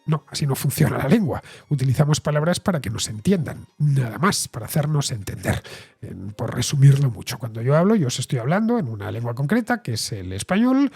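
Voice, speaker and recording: A middle-aged Castilian Spanish man with a slightly husky, breathy voice explains things at a measured pace. He hesitates often as he talks through a solo monologue, and faint music plays behind him. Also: mid-range voice, big pitch swings, darkish tone. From Spain. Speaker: host and writer. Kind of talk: solo talk-show monologue.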